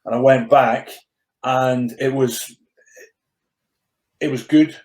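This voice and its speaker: A man's voice: medium pitch, fairly even intonation, slow, a little mellow. A man in his thirties with a mostly controlled stammer, speaking in an ordinary mid-range voice. He tells his story earnestly and reflectively, with frequent restarts and repeated words. The sound is echoey, as if recorded in a bare room. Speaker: podcast guest, rugby player turned coach. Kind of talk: candid personal storytelling in conversation.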